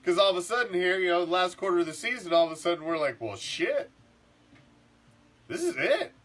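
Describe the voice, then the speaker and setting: A middle-aged man, congested and rough-throated from the flu, ranting casually as a sports podcast host, swearing and repeating himself. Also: sits high, expressive, a little fast, a bit bright. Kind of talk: profane casual sports rant.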